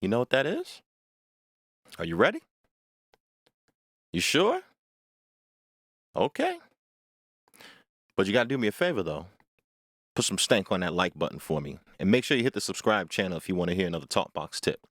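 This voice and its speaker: A man hosting an informal music tutorial, speaking in emphatic, deliberate phrases and often checking in with rhetorical tag questions. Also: deep voice, huge pitch swings, fairly bright tone, no echo, clean studio sound. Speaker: music tutorial host, vocalist and producer. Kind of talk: informal instructional talk to camera.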